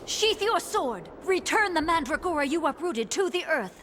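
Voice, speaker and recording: A woman's voice: very high-pitched, big pitch swings, moderate pace, heavy background noise. An actress playing a stern, protective nun in a video game, formal and reproachful in her declamatory delivery. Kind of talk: dramatic video game acting.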